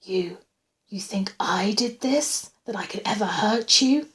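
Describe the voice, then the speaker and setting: A woman voicing a menacing, obsessive male stalker in a soft, breathy whisper, slow and intimate, for a suspense audiobook. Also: mid-range voice. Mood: shocked, intense. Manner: slow, commanding yet gentle, intimate.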